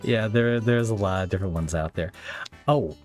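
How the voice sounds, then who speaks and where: Male podcast speaker, deep register, informal explanatory chat, faint music bed. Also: normal speed. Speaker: ASMR roleplay video creator.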